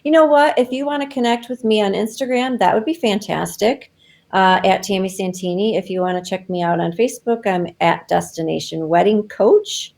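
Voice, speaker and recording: Gravelly-voiced chatty woman on a call. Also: mid-range voice, darkish tone. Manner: chatty, filler-heavy, quick laughs. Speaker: travel advisor guest. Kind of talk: casual interview chat.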